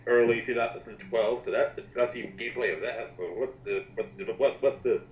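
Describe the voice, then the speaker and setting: A man co-hosting a casual commentary chat with sarcastic, animated joking banter. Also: medium pitch, fairly quick. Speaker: commentary co-host. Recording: echoey, dull lo-fi mic with noise.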